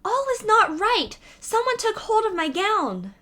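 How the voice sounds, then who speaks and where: A woman's voice: very high voice, very animated, a little slow, bright, forceful tone, voice pushed up, full character voice. A woman voicing a timid, fretful young princess in a fairy tale. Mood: alarmed. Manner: fretful, uneasy.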